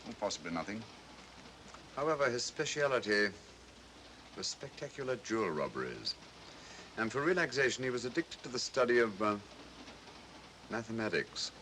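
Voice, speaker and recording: An actor playing a coolly analytical English detective, precise, articulate and dryly understated, in 1940s mystery film style. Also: male voice, medium pitch, sing-song, normal speed, pauses often, pushed and bright. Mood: grave, analytical. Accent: British. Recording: noisy old film soundtrack.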